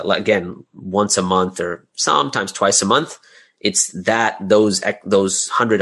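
Male, lower-pitched, conversational guest explaining things in a halting, think-aloud way. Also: creaky, a bit bright, husky. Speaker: interview guest, audio drama creator and business owner. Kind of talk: casual conversational interview answers.

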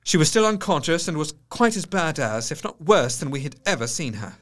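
An actor playing a grave, shaken Victorian doctor, narrating a diary in measured, literary style for an audio drama. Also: male voice, a little high, big pitch swings, a bit bright. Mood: earnest, tense. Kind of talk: dramatic scripted first-person narration.

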